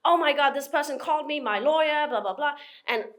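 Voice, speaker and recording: A woman's voice: sits high, brisk, fairly bright tone. A woman in her forties from Hong Kong, with a mixed British and American accent, talking as a guest in a casual interview and acting out her own thoughts with restarts and laughs. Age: 40s.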